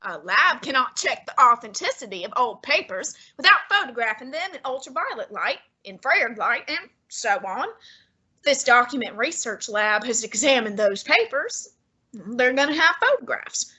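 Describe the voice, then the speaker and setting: A woman playing a fussy, imperious, heavyset male detective in a student radio drama, speaking in a haughty, deliberate, commanding way with a breathy tone. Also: high voice, sing-song, pushed and bright, heavily acted.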